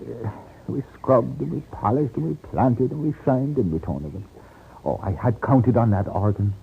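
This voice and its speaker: An actor playing a humble, self-reproaching mission priest in an old radio drama, hesitant and rueful. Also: male voice, medium pitch, expressive, normal speed, creaky, a little hiss or hum. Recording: tinny, band-limited old radio recording.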